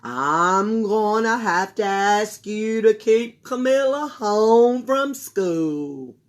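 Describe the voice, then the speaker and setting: A woman voicing a stern, apologetic male school principal in a read-aloud story, slow and deliberate. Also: medium pitch.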